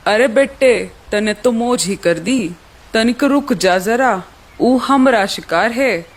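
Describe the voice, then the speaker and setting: A woman's voice: fairly high pitch, animated, takes it slowly, exaggerated voice. A woman voicing a bullying male hunter hawk who threatens in rustic dialect, in a children's cartoon story. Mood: menacing.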